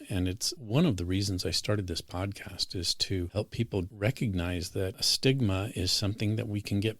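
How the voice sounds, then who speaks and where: A man's voice: lowish voice, no room sound. A man hosting a podcast interview, speaking in an earnest, formal and encouraging way. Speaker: podcast host.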